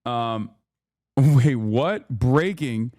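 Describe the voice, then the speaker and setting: A man with a Texan accent hosting a livestream, riffing in a casual, excitable, profane way and often repeating himself. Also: medium pitch, extremely slow, dry recording, studio quality. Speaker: livestream host.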